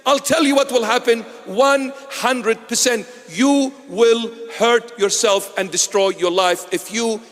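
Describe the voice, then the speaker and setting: A bishop in his fifties preaching a sermon emphatically, dropping low and intense and then rising to exhort. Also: unhurried, few pauses, big-room sound. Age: 50s. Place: church.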